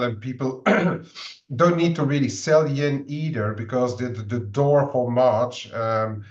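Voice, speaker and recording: A Belgian man with a Belgian accent giving casual market commentary on a trading webinar, halting with many fillers and restarts. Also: mid-range voice, muffled recording.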